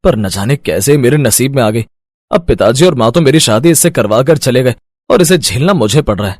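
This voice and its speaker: An actor playing a weary, henpecked village food vendor in a story cartoon, expressive and given to grumbling and pleading. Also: male voice, medium pitch, fairly quick, dry recording, clean studio sound, lightly acted. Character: weary, henpecked village chicken-lollipop street vendor husband. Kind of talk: animated moral-story voice acting.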